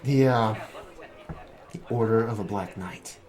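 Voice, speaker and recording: A man's voice: lowish voice, measured pace, a little mellow, lightly acted. An actor playing a nervous, defensive young college man who stammers and hesitates, in a noir radio drama. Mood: guarded.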